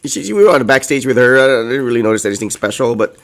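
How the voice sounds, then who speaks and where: Man, hoarse voice, rambling casual co-host, medium pitch. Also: animated, rapid-fire. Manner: rambling, heavy on "like" and "you know". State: hoarse, recovering from a lost voice. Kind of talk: casual chat.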